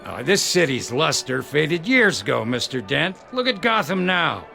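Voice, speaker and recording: A man's voice: a little high, very animated, moderate pace, vocal fry, pushed and bright, exaggerated voice. An actor playing a cranky, cynical older rich man, blunt and grumbling, in a dramatic video game.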